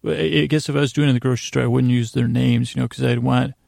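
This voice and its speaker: A man hosting a solo bedtime podcast in a meandering, halting monologue, often interrupting himself and drifting into asides. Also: medium pitch, steady tone, darkish tone, no room sound, studio quality. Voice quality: gravelly, creaky. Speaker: podcast host.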